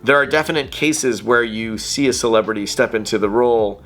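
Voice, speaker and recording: Filipino canadian guy talking casually as a guest, lively and full of ums and likes, average pitch. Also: soft background music. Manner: animated, chatty, quick laughs, many fillers. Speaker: voice actor guest. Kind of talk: casual interview answers.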